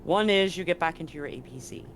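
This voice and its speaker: A game master narrating a live tabletop sci-fi game in a halting way, pausing mid-phrase. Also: mid-range voice, lively intonation, fairly bright tone, slight background noise. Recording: music under the voice. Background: background music.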